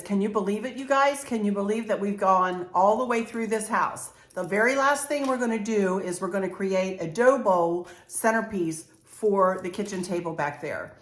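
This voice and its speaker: Older woman, mid pitch, congested, bubbly direct-to-camera vlog delivery, roomy echo. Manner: bubbly, talks straight to viewers, warm fillers. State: congested, clearing throat. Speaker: home decor vlogger. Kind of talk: casual chatty vlog. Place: home interior room. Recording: echoey room.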